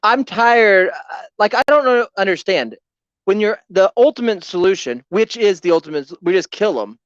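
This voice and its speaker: A man with a fairly high, bouncy voice cracking jokes on a podcast. He talks in quick asides and puns. The sound is dry and a bit dull. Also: expressive, clear, resonant tone, dry recording. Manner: quick jokey asides, wordplay. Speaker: podcast co-host. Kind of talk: casual comedic banter.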